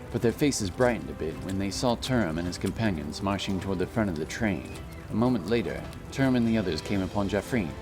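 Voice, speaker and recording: Low-pitched male fantasy narrator. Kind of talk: scripted dramatic fantasy narration.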